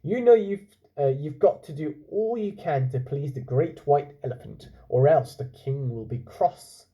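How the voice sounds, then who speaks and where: A man voicing a sly, bossy boy schemer in a children's story, brisk and persuasive as he gives orders. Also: a little high, muffled recording, lightly acted.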